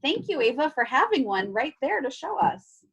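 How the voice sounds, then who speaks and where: A woman's voice: sits high, big pitch swings, moderate pace, dull audio. A woman teacher reading a story aloud to children and explaining things simply. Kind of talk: expressive storybook read-aloud with chat to children. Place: online class video call.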